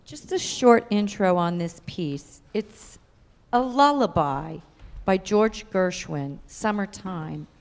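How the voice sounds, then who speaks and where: A woman musician speaks to the congregation in a relaxed, conversational way. Her unhurried words sit at a middle pitch. They carry a long reverb from the sanctuary. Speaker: cellist. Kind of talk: short spoken intro to a music piece. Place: church sanctuary.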